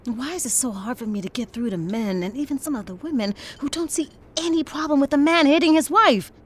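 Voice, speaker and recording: A woman's voice: high voice, expressive, a little fast, a little hiss or hum. A woman acting a troubled, earnest young daughter with strong principles in an audio drama. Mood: anguished, pressing.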